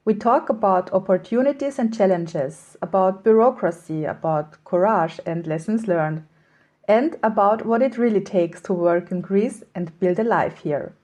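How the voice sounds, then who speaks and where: A woman hosting a podcast, chatty and quick to laugh in a casual interview. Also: mid-range voice, darkish tone. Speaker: podcast host.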